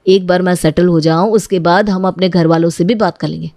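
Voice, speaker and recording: A woman's voice: lowish voice, steady tone, a little fast, dark tone, no echo, studio quality, natural voice. A woman voicing an earnest, evasive young man in a cartoon family story. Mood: earnest, firm. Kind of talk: cartoon moral-story dialogue.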